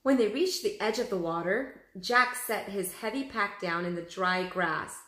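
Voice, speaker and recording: A woman's voice: medium pitch, unhurried, fairly bright tone. A woman teacher reading a children's story aloud expressively, addressing young pupils. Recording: echoey room.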